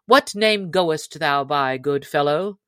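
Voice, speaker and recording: A woman's voice: low voice, animated, slow, no room sound, studio quality. A woman voicing a jolly, bold male outlaw leader who speaks in hearty, commanding old-fashioned speech. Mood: amused.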